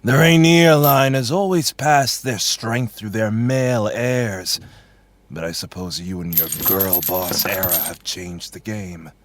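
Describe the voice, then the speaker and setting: A man's voice: lowish voice, a little slow, background noise, lightly acted. A man playing a mocking, condescending supernatural villain, theatrical and formal in his delivery. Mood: amused, smug. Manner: theatrical, smug, formal wording. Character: mocking, condescending male villain possessing her twin brother.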